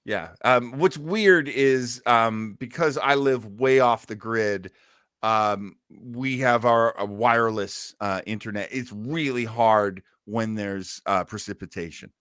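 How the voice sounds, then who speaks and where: Bright-voiced male host in a dry-sounding recording. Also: fairly low pitch, slow pace, clear, resonant tone, dry recording. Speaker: podcast host. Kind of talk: casual commentary on old radio shows.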